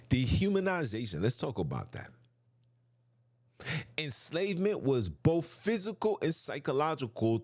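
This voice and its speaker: A man's voice: fairly high pitch, no echo, clean studio sound. A man hosting a podcast, delivering an impassioned, preachy monologue straight to his listeners, often repeating himself for emphasis. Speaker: podcast host.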